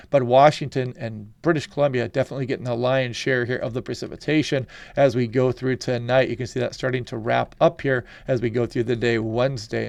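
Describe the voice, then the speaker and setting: Congested man with a gravelly voice. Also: mid-range voice, no echo. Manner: relaxed, conversational, frequent "you know" and "kinda". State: congested from a head cold. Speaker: weather channel host. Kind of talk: casual forecast walkthrough over weather maps.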